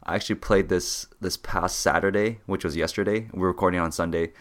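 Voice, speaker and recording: A young man with a low voice that is a little raspy from a cold. He co-hosts a podcast, chatting in an easygoing way and laughing often. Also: fairly even intonation, a little fast. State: sick with a cold, slightly raspy. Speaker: podcast co-host. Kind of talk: casual chat.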